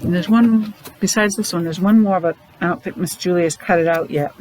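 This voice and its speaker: A woman's voice: fairly low pitch, darkish tone, slight background noise, rough recording. A woman preschool teacher leading a counting lesson for young children, encouraging and asking lots of questions. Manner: encouraging, asks questions with pauses.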